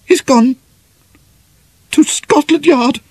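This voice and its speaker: A man voicing a timid, frightened young woman in a halting, pleading, tearful way for an audiobook. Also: very high-pitched, a little mellow, dry recording, voice pushed up. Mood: tearful dread. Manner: halting, whispery, pleading, stammering.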